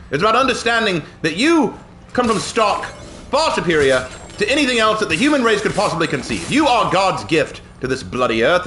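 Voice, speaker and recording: Man doing a hammy posh British toff for a game stream: bright, high, lively, put-on accent, snobbish and foul-mouthed. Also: slight background noise. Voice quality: hammy, swearing, self-mocking. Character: pompous, snobbish, violent old-school British gentleman. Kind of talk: live gameplay commentary in character.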